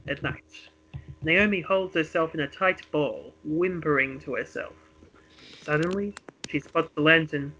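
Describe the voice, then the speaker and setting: Bright, higher-voiced man narrating a radio play. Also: dull audio. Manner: dramatic present-tense storytelling. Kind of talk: reading a script aloud for a homemade radio play.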